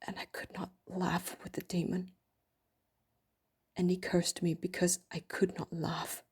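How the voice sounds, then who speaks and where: A woman narrating an audio drama in a hushed, breathy, near-whispered way. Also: lowish voice, little pitch movement, measured pace, heavily acted.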